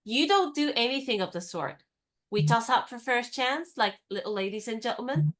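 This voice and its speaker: Actress as a headstrong, teasing young woman; crisp delivery, fairly high voice. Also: expressive, moderate pace, a bit bright. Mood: determined. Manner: crisp, teasing and firmly insistent. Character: spirited, independent young woman, teasing and stubborn. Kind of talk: radio play acting.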